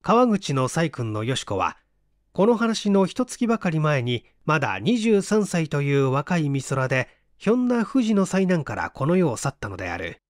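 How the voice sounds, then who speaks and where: A man narrating a literary mystery tale in a measured, dramatic storytelling manner with deliberate pauses. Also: a little high, very animated, dry recording, clean studio sound. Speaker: audiobook reader, stage actor.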